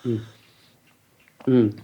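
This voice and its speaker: A man's voice: extremely slow, phone-quality sound. A man hosting a podcast interview, easygoing, asking questions and offering short agreements. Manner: easygoing, many short backchannels. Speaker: podcast host. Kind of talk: casual interview.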